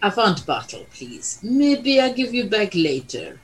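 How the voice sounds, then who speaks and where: A woman playing a blunt, flirtatious, hard-drinking Bulgarian with a Bulgarian accent and deadpan broken English in a comic audio drama. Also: medium pitch, unhurried. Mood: flirtatious, curious.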